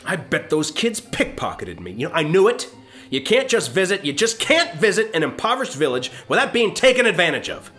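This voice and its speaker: An actor playing a brash, self-satisfied starship captain in a comedic science-fiction radio play, glib and pompous. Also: male voice, high voice, big pitch swings, brisk, fairly bright tone, faint music behind. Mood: petulant.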